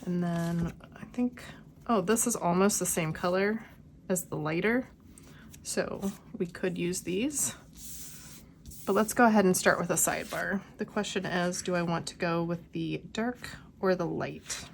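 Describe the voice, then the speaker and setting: Slow, gravelly woman with a cold. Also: lowish voice, a little hiss or hum. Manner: unhurried, musing aloud while crafting. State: has a cold, slightly hoarse. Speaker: planner vlogger. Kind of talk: casual plan-with-me narration. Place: quiet home.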